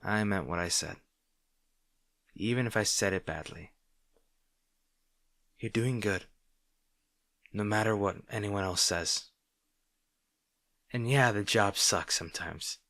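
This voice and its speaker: A man's voice: on the low side, takes it slowly, pauses often, fairly bright tone, no echo. A man playing an arrogant but secretly caring supervillain rival, bantering with the listener in an audio roleplay. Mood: earnest, caring. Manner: teasing, bantering, grudgingly sincere.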